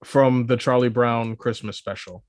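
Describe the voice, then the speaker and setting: Halting American man in a dry recording. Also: mid-range voice, steady tone, no room sound. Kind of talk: casual chat.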